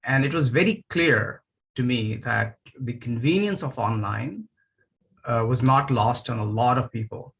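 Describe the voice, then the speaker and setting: A man from Nepal in his forties, a panelist and education founder, speaking on a video call in a measured, thoughtful way with frequent fillers. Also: mid-range voice, gravelly, fairly bright tone, rough recording. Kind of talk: online panel remarks.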